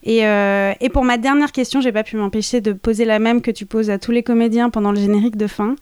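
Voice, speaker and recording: A woman radio interviewer asking measured, friendly questions of a guest. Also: on the high side, steady tone, darkish tone, smooth, no echo, clean studio sound.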